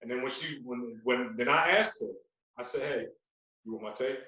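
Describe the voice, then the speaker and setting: A man's voice: mid-range voice, moderate pace, bright, forceful tone. Heavy room echo surrounds a man with a mid-range voice. He chats casually as a podcast guest, repeating phrases for emphasis. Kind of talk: casual chat. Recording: very echoey, roomy sound.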